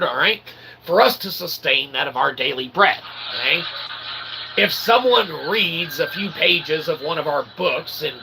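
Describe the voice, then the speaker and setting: A man's voice: fairly high pitch, creaky, noisy background. A man giving a rambling informal monologue in mock-formal, wordy phrasing, often checking in with a short questioning tag. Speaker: channel host and self-published author.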